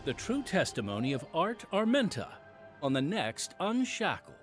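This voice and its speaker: An American man hosting a devotional podcast in scripted narration, with measured, emphatic, dramatic phrasing. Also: a little high, sing-song, faint music behind. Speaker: podcast host and preacher.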